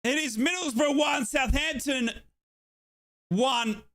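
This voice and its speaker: A man from Australia streaming a football watchalong, cheering and yelling excitedly, his voice hoarse from shouting. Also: very high-pitched, slow. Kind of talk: excited fan commentary.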